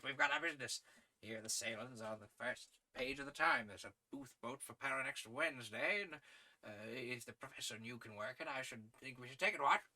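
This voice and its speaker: A man voicing a hearty, daring aristocratic English gentleman adventurer from a storybook, with jaunty, slangy upper-class phrasing. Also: mid-range voice. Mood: brisk, bluff.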